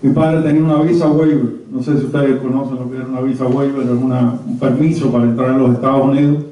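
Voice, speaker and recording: A man's voice: mid-range voice, little pitch movement, fast, mellow, recorded from a distance. Muffled, echoey elderly Cuban man. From Havana, Cuba. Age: 70s or older. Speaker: conference speaker. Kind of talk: personal reminiscence told to a small audience. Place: indoor conference room. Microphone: handheld mic, recorded from a distant camera. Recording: very echoey, muffled room from afar.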